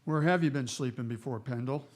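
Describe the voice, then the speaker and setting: An actor playing a firm, fair frontier marshal in a Western radio drama, plain-spoken and authoritative. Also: male voice, mid-range voice, fairly quick, a little mellow, natural voice. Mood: easy, curious.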